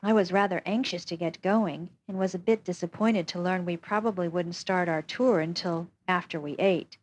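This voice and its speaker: A woman reading a first-person memoir aloud as scripted narration, steady and even. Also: on the low side, moderate pace. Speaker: audiobook reader.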